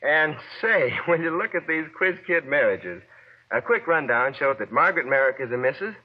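A man's voice: fairly high pitch, sing-song, moderate pace. A young man reading a scripted news roundup as a guest correspondent on 1940s radio. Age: young adult. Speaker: guest correspondent, former child quiz contestant. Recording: dull lo-fi vintage 1940s radio broadcast.

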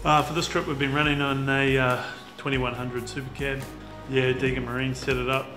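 A young man with a New Zealand accent, chatting casually about a fishing trip, relaxed and full of fillers. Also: medium pitch, moderate pace. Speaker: fisherman. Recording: roomy sound with music behind.

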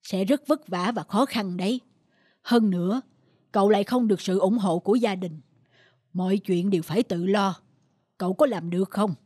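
An actor voicing a stern, reserved old male professor in a cartoon, measured and admonishing. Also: sits high, darkish tone, husky, dry recording, studio quality. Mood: concerned, grave. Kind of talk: animated cartoon dubbing.

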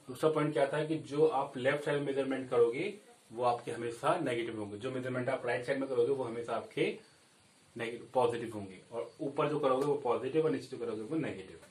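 A man's voice: mid-range voice, fast. Young man, teacher, chalkboard lesson, step-by-step explaining, roomy classroom echo, slightly distant from the mic. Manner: explains step by step, checks in with frequent "okay, kids". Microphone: camera set back from the board. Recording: echoey room, voice a bit off-mic.

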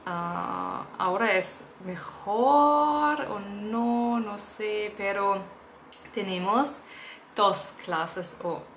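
A young woman, a native German speaker, chatting casually to camera at home as a vlogger, speaking haltingly with restarts as she learns the language. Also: mid-range voice, fairly bright tone, echoey room, slight background noise. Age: young adult. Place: small indoor room at home.

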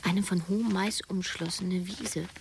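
A woman's voice: lowish voice, steady tone, unhurried, gravelly, a little hiss or hum. An actress playing an observant, wry woman in her thirties who narrates a radio play in the first person. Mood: watchful, wry. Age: 30s.

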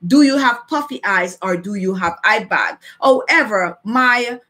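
A woman's voice: medium pitch, lively intonation, measured pace, pushed and bright. A middle-aged woman with a Jamaican accent, chatting casually to livestream viewers and repeating phrases for emphasis. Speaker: beauty vlogger hosting a live chat. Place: small furnished room at home, indoors.

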